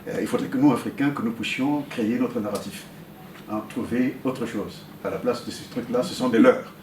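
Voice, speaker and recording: A man's voice: mid-range voice, moderate pace, a little mellow, far from the mic. A man from the Republic of the Congo, whose first language is Okutuba, speaks at a community meeting with many hesitant fillers, and his voice climbs sharply when he presses a point. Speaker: community member addressing a meeting. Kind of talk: impassioned public remarks. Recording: roomy sound from off-mic, faint hum.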